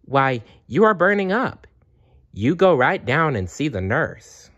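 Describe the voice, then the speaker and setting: A man's voice: a little high, very animated, measured pace, fairly bright tone, dull audio, pitched above the performer's own voice, exaggerated voice. A man voicing a concerned woman schoolteacher in a children's storybook, urgent and fussing. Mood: worried.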